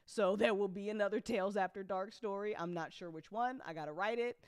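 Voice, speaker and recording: Candid, sweary woman with a clear voice. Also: medium pitch, brisk, dry recording, studio quality. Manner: candid, profane, slangy; excitable, emphatic with repeated phrases. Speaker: host and audio drama writer-producer. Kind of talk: casual unscripted talk to listeners.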